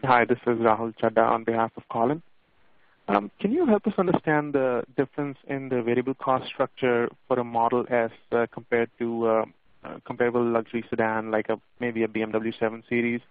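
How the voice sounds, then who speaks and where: Mid-pitched male analyst on tinny phone line. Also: fairly even intonation, no room sound. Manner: polite, halting, searching for words. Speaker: financial analyst asking questions. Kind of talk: questions on an earnings call.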